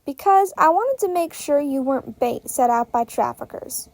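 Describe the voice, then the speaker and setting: A woman's voice: sits high, lively intonation, normal speed, darkish tone, no room sound. A woman voicing a blunt, skeptical, streetwise homeless boy in an audiobook-style story. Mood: blunt, wary.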